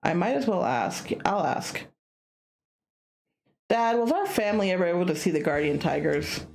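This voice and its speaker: A woman's voice: on the low side, big pitch swings, normal speed, darkish tone, soft background music. A woman playing a curious, polite young male student in a visual novel, speaking in a hesitant, conversational way.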